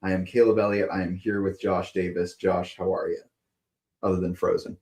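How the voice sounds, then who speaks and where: A young man with a gravelly voice, co-hosting a casual live stream over a video call and bantering in an easygoing way. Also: low-pitched, flat delivery, a little fast, darkish tone. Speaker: co-host.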